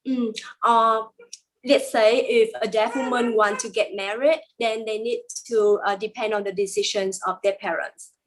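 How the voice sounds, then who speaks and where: Woman interpreter, halting and higher-pitched. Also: fairly even intonation, low-quality recording. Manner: halting, many pauses and fillers, plain careful phrasing. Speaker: sign language interpreter voicing a deaf guest. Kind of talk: live interpreted interview answers.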